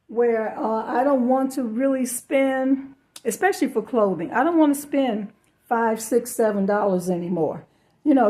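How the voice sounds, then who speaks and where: A middle-aged woman with a hoarse voice, chatting casually through a thrift and yard sale haul with many fillers and restarts. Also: on the high side, warm tone. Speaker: reseller showing thrift hauls.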